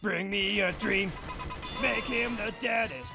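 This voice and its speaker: Cocky psycho merc from a shooter game, drawn-out gravelly yells, violent taunts, music n gunshots behind. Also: male voice, high voice, fairly even intonation, exaggerated voice. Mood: gleefully taunting. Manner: loud, shouting taunts and pained cries. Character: cocky, manic male mercenary shooter character, gleefully violent. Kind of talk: video game combat barks.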